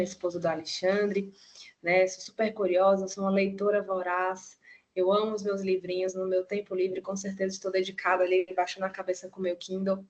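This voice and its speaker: A middle-aged woman with a Ceará accent lectures over a video call in an even, level tone that barely rises or falls. She keeps it conversational and often checks in with tag questions. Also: medium pitch, muffled recording. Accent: Ceará, Brazil. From Ceará, Brazil. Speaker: university nursing lecturer. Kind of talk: online lecture.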